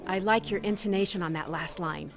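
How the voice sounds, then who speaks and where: A woman playing an encouraging high school drama teacher in a comedic audio drama. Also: brisk, close to an everyday voice. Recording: music behind the voice.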